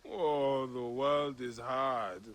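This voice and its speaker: A man's voice: mid-range voice, steady tone, very slow, fairly bright tone. A man playing a weary, proud, short-tempered Egyptian warrior in a video game cutscene. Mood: scornful. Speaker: game protagonist.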